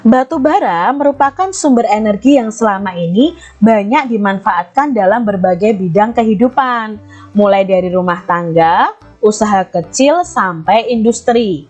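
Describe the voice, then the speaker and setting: A woman's voice: medium pitch, lively intonation, moderate pace, a little mellow, soft background music. A woman with an Indonesian accent hosting an online primary-school lesson, speaking to young children in an encouraging, explanatory way with plenty of questions.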